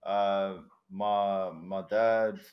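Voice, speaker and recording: A man's voice: sits low, little pitch movement, drawn-out words, highs cut off. A man with a South African accent, first language Afrikaans, telling stories in a casual, laughing, swearing podcast chat. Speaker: podcast guest, commercial diver.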